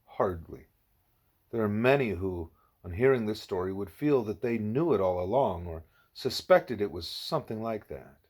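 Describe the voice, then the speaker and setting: A man reading the part of a wise, patient teacher in a novel, speaking deliberately and didactically. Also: lowish voice, animated, a little mellow. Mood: patient, instructive. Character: wise, patient teacher leading a pupil by questions.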